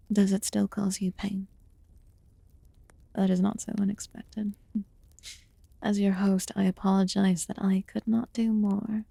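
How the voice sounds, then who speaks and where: A woman voicing a calm, mysterious immortal witch in a soft-spoken ASMR fantasy roleplay, with formal, archaic phrasing and a breathy voice that often slips into whispers. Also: mid-range voice, no echo, heavily acted. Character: calm, mysterious immortal witch tending a wounded human guest.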